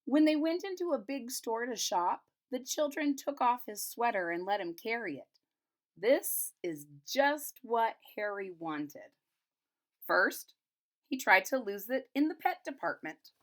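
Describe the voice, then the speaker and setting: A woman reading a children's storybook aloud, pausing to retell and explain it simply for young listeners. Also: fairly high pitch, animated. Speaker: storybook reader. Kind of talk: children's picture-book read-aloud with retelling in plain words.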